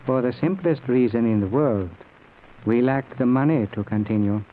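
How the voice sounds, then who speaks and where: An actor playing a grave, patient Eastern yogi in an old radio drama, speaking in measured, formal phrases. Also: male voice, mid-range voice, narrow, tinny audio, lightly acted. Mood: grave, coaxing. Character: grave, patient yogi, wise mystic teacher from the East. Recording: noisy, thin old radio broadcast recording.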